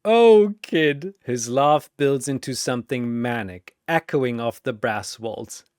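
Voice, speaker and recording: A narrator reading a dramatic scripted story in measured, suspenseful short phrases. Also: medium pitch, dry recording, clean studio sound.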